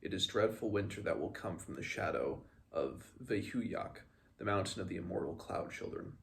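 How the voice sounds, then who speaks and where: Man reading as solemn, gravelly old shaman. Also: deep voice, expressive, normal speed. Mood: solemn farewell. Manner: solemn, formal recitation. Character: solemn old male shaman reciting his death song.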